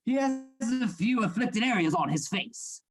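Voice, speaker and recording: A man's voice: very high-pitched, expressive, a little slow. A man acting in a radio play as a domineering, scheming Victorian anatomy assistant, with commanding, formal, theatrical speech. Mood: cold, controlling.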